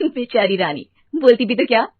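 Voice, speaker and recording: Female storyteller, lively intonation, fairly high pitch, scripted family tale, dry recording. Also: normal speed, no echo, narrow, tinny audio. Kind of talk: scripted narration of a comic family moral story.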